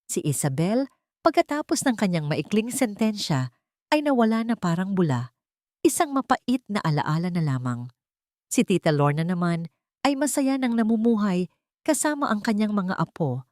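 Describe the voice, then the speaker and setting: A woman's voice: mid-range voice, big pitch swings, moderate pace, darkish tone, dry recording, clean studio sound. A woman reading a scripted dramatic first-person story as an audiobook narrator.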